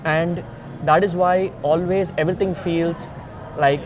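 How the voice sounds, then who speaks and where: Male, Indian accent, slightly high register. Earnest, emphatic interviewee explaining step by step over noticeable outdoor traffic noise. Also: steady tone, measured pace. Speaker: founder and CEO being interviewed. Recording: noisy outdoor ambience with traffic rumble.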